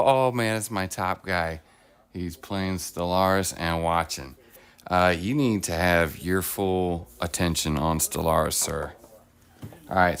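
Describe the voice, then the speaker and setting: Gravelly deep-voiced man chatting upbeat. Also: a bit bright. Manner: rambling, upbeat, self-deprecating. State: voice deepened while getting over an illness. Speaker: streamer host. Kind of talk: casual livestream chat while painting miniatures. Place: home, at a table. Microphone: phone on a clamp arm.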